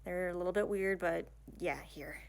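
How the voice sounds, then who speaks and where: A woman's voice: fairly low pitch, normal speed, creaky. A woman playing an awkward, bashful young princess in a fantasy audio roleplay, hesitant and stammering, rambling in casual modern phrases. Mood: bashful, apologetic. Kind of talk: ASMR romantic fantasy audio roleplay, one-sided dialogue.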